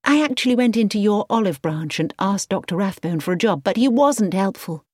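A woman voicing a quick, playful young woman, with a lively delivery. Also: mid-range voice, dry recording, clean studio sound, voice pushed up, lightly acted.

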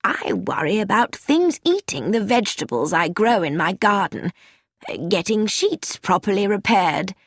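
A woman voicing a shrewd elderly spinster who asks mild, gently probing questions in an audiobook. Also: medium pitch, very animated, normal speed, dry recording, studio quality. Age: older.

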